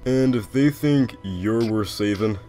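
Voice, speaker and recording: A man voicing a lazy, wry skeleton joker with quiet menace in a comic dub, talking in a laconic, folksy way. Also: fairly low pitch, takes it slowly, faint music behind. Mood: calm, resolute. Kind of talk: animated comic dub acting.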